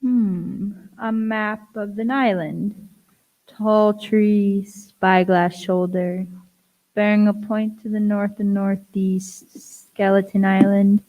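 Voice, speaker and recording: Woman playing a composed, formal gentleman doctor. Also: mid-range voice, steady tone, takes it slowly, lo-fi sound, natural voice. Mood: measured, intrigued. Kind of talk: youth radio play acting.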